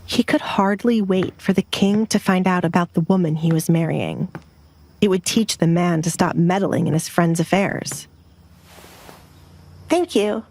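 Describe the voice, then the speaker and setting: A woman's voice: on the low side, moderate pace, darkish tone, slight background noise. A woman reading scripted, dramatic story narration as an audiobook narrator.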